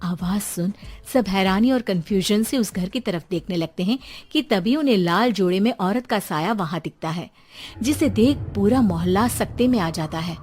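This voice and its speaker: A woman's voice: mid-range voice, animated, normal speed, darkish tone, husky. A woman narrates a cartoon horror tale from a script. Her voice sits in a middle register as she tells it as a storyteller.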